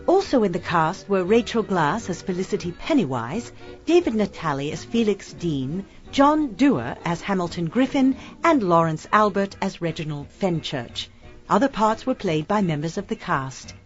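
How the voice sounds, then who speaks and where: A woman announces the credits of a radio play in a medium-pitched voice. Her scripted delivery has lively rises and falls, with faint music behind and slightly muffled sound.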